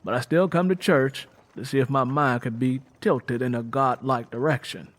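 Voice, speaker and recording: A man's voice: medium pitch, moderate pace, natural voice. A man voicing a bitter, mean-tempered woman from the American South in a folksy, emphatic storytelling way for an audiobook. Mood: matter-of-fact.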